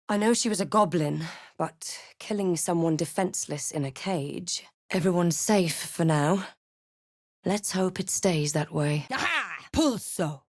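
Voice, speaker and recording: An actress voicing a young female video game adventurer, wry, wary and thoughtful, with measured, theatrical delivery. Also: on the low side, dry recording, clean studio sound. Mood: tense, reflective, then incanting. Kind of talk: video game character voice lines.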